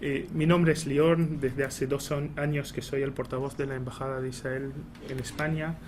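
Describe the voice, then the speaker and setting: A man, a non-native Spanish speaker with an Argentine Spanish accent, gives a prepared public talk, pausing often on "eh" and restarting phrases. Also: medium pitch, little pitch movement. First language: Hebrew. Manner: halting, many "eh" fillers and restarts. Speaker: embassy spokesperson giving a talk. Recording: noisy room recording.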